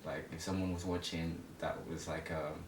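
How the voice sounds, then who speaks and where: A man in his early twenties from Zimbabwe telling personal stories as an interview guest in a casual, halting, hesitant way. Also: flat delivery. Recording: echoey room, distant mic, rumbling noise.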